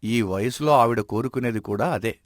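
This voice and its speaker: A man playing a wise, respected village elder in an animated moral story, giving advice in a measured, admonishing way. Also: medium pitch, no echo, clean studio sound, close to an everyday voice. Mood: calm advising.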